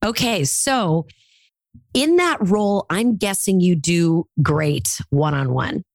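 A woman's voice: fairly low pitch, expressive, slow pace, creaky, darkish tone, dry recording. Upbeat woman host, dry close sound. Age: 40s. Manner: upbeat, encouraging, playful asides. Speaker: podcast host and speaking coach. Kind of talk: coaching call interview.

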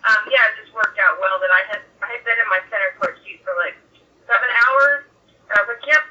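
A woman chatting casually as a podcast guest, gushing and rambling excitably over a tinny remote call. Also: medium pitch, fast talker, distant mic. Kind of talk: casual chat.

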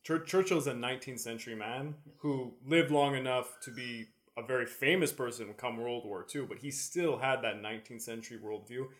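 A man's voice: mid-range voice, normal speed, hardly any pauses. A man talking history casually as a panelist on a podcast.

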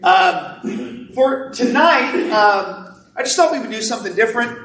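Loud-voiced preacher in a roomy church. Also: male voice, extremely high pitch, lively intonation, a little slow. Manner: loud, conversational preaching with asides to the congregation. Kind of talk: sermon. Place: church sanctuary. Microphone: no microphone, recorded from a distance. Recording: roomy, distant church recording.

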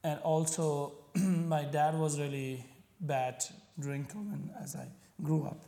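A man from Pakistan with a Pakistani accent and Punjabi as his first language, speaking haltingly as a podcast guest. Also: on the high side, dark tone. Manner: halting, searching for words, frequent fillers. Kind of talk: conversational interview.

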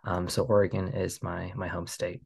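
A man in his thirties from the United States, a filmmaker speaking as a guest in a casual interview, halting with many fillers and restarts. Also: low voice, level tone, vocal fry, a little mellow.

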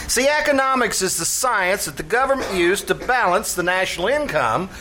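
A brash know-it-all barkeep acted by a man for old-time radio comedy. The delivery is bright and forceful, swinging up and down in pompous, streetwise lectures. It is a live stage recording with faint noise. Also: high-pitched, lively intonation, normal speed.

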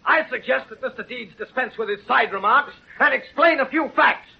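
An actor playing an indignant, pompous, scheming lawyer in a 1930s radio drama, full of bluster. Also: male voice, very high voice, moderate pace, vocal fry, husky, heavily acted. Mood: pompous indignation. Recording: noisy, muffled 1930s radio recording.

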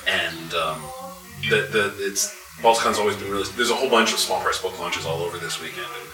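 Guy w a lowish voice on a con panel, halting casual talk, echoey, far from mic. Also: music in the background. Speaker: convention panelist and writer. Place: live convention panel. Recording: echoey room, distant mic.

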